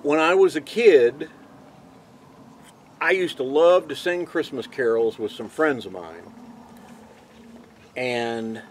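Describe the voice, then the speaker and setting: An older man chatting in a playful, folksy, joking way as a vlog co-host outdoors. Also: mid-range voice. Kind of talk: casual joking chat. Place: outdoors in open desert beside an RV. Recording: outdoor ambient noise.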